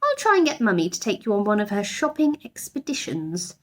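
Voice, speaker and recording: A woman's voice: medium pitch, huge pitch swings, fairly quick. A woman voicing a kind, chatty young girl in a children's storybook, eager, welcoming and helpful.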